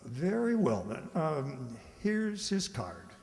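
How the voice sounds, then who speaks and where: A man's voice: fairly high pitch, wildly swooping pitch, takes it slowly, creaky, a little mellow, heavily acted. A man acting in a radio play as a smug married schemer, breezy and politely reassuring, recorded in an echoey hall. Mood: genial. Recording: echoey hall with long reverb.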